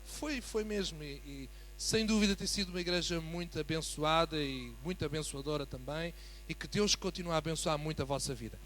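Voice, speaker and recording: A man with a European Portuguese accent preaching as a guest in a church, building from a quiet greeting to fervent emphasis. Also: a little high, fairly quick, a little hiss or hum. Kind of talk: sermon.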